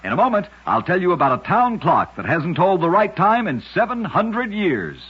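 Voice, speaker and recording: Male narrator for vintage radio oddities: raspy, animated, dramatic phrasing, on a dull lo-fi recording. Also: sits high, moderate pace. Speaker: radio host and storyteller. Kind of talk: old-time radio scripted narration of curious facts.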